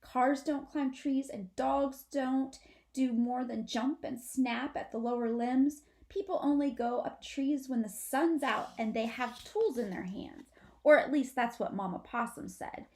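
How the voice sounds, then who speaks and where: Timid young girl possum, voiced by a woman, raised pitch, earnest, anxious, storybook character. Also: measured pace, darkish tone. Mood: anxious, thinking. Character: timid littlest young female possum, anxious but reasoning. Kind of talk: children's storybook read-aloud.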